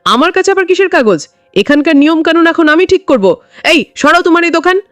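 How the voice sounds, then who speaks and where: An arrogant police inspector, acted out by a woman storyteller barks commands with contempt. The pitch jumps high, and the sound is dry with music beneath, like an audio drama. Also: animated, brisk, fairly bright tone, dry recording. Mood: angry, haughty. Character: arrogant, bullying male police inspector barking orders.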